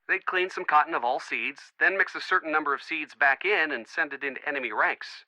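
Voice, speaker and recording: An actor playing an earnest, wisecracking young American boyfriend, chatty and eager, in an adventure game. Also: male voice, fairly high pitch, pushed and bright, no echo, low-quality recording. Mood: informative, upbeat. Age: young adult. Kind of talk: scripted adventure game dialogue.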